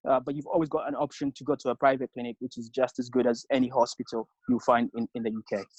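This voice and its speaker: A man's voice: mid-range voice, level tone, fast, slightly rough, no room sound. A man from Zambia contributing to an online webinar Q&A, hesitant with many fillers. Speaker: webinar attendee. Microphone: video call. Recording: dull lo-fi video call audio.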